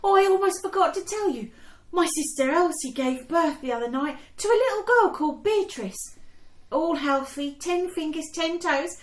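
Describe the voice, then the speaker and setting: An actress playing a chatty, excitable young woman of the home front in a wartime radio play. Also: extremely high pitch, normal speed, slight background noise. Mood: bright, gossipy. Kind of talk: period radio drama acting. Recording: echoey room.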